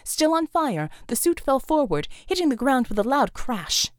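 A woman's voice: high-pitched, sing-song, normal speed, dry recording, studio quality. A woman reading scripted action fiction as a dramatic audiobook narrator.

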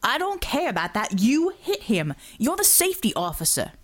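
A woman's voice: on the high side, big pitch swings, moderate pace, voice pushed up. A woman voicing a stern, exasperated male superhero leader in an audiobook, with a formal, reproving manner. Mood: outraged. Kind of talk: audiobook character dialogue.

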